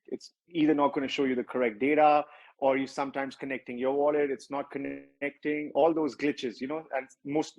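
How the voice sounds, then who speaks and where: A man's voice: fairly high pitch, a little mellow, low-quality recording. A man with a strong Indian accent, a project founder answering questions in a livestreamed interview, talking at length and often correcting himself. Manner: rambling, self-correcting, with many filler words.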